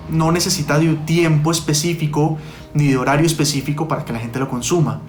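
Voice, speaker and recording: A man giving explanatory interview answers, pausing and rephrasing often as he goes. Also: medium pitch, slight background noise. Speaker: podcast producer, interview guest. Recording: roomy echo, faint music.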